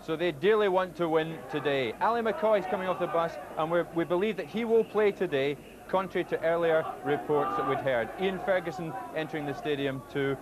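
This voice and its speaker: A man presenting a live football broadcast at a stadium, linking segments and naming arriving players in a brisk, informative way. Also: fairly high pitch. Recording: noisy broadcast with crowd behind.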